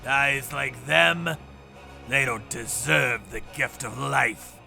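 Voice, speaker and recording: An actor playing a ruthless vigilante torturer in a dubbed comic story, cold, menacing and mocking. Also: male voice, medium pitch, takes it slowly, gravelly, sharp tone, exaggerated voice. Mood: cold menace. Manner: menacing, taunting. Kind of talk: dramatic first-person narration and acted fight dialogue. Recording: music behind the voice.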